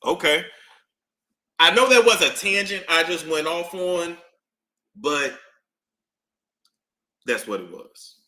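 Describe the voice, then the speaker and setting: Man, live-stream commentator, bright lively delivery, roomy echo, emphatic repeater. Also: on the high side, takes it slowly, pauses often, a little hiss or hum. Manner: animated, emphatic, repeats phrases for effect.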